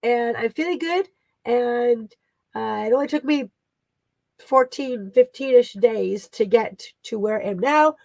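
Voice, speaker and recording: Woman, 30s, chatty home vlogger still getting her voice back, gravelly fry, dry close sound. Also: fairly high pitch, a little slow, a little mellow, no echo. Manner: chatty and rambling, many fillers and asides. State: recovering, voice partly back after losing it. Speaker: vlogger and online teacher. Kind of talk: casual personal update.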